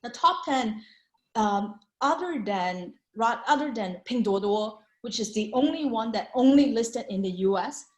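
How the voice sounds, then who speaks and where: A woman's voice: on the high side, expressive, unhurried, darkish tone. Chatty woman, echoey room. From Hong Kong. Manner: chatty, many fillers and restarts. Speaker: venture capital panelist. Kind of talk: presenting slides in an online panel.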